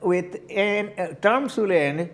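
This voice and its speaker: Older man with an Indian accent. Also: sits high. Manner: halting, repeats words while searching for them. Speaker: community board candidate. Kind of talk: interview answers.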